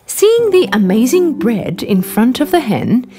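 Slow, very animated woman storyteller. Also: a little high. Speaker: storyteller, narrator. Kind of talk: scripted children's bedtime story narration. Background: background music.

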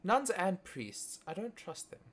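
A man's voice: high-pitched, sing-song, a little slow, a bit bright. A young man chatting casually with a friend on a voice call while loading a game, joking and musing in a mock-dramatic way. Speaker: gaming video creator. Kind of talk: casual chat over voice call.

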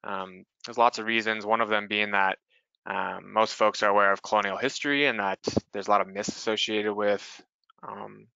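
A man presents in a webinar in an earnest, measured way, with a few fillers. His lowish voice is bright and crisp. The audio is dry and slightly dull, like a video-call mic. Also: steady tone, no echo. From US Pacific Northwest.

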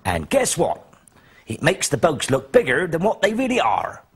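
A man's voice: a little high, huge pitch swings, moderate pace, breathy edge, voice pushed up, heavily acted. A man voicing a kindly, chatty grandmother in a children's story, with a homely, encouraging manner. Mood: cheerful, encouraging.

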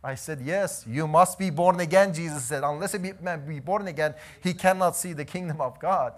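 Male missionary, 30s, lively storytelling testimony, fairly high voice. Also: brisk. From Iran. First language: Azeri. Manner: animated storytelling, gesturing while pacing. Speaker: missionary preacher. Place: church hall, indoors.

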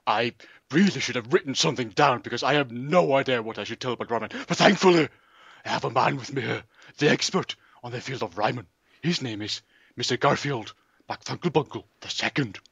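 A man's voice: medium pitch, vocal fry, fairly bright tone, slightly rough, no echo, highs cut off. A man playing a pompous, mock-solemn documentary presenter in an improvised comedy sketch. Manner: grandiose, hesitant, deliberately silly.

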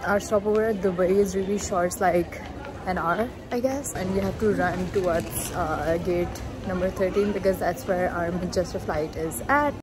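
A woman's voice: on the low side, moderate pace, crowd noise, background noise. A young woman with a Pakistani accent, chatting to viewers in a casual travel vlog recorded on a phone at arm's length. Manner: chatty, addresses viewers directly. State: tired after long travel. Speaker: vlogger. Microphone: phone camera at arm's length.

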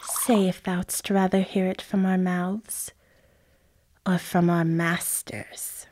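A sinister witch, played by a woman, casting spells in a lowish voice. She is slow, deliberate and commanding, in classical radio-play style. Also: flat delivery, darkish tone. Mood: sinister, chanting. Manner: deliberate, incantatory. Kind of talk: Shakespearean radio drama acting.

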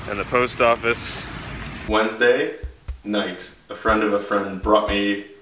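A man's voice: on the low side, steady tone, a little slow, sharp tone, slight background noise, low-quality recording. A man in his twenties with an American accent, chatting in an upbeat, casual way for a video diary. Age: 20s. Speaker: vlogger.

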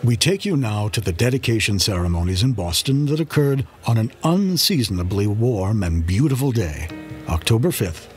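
A man's voice: fairly low pitch, big pitch swings, normal speed, a little mellow, soft background music. A man reading scripted podcast narration.

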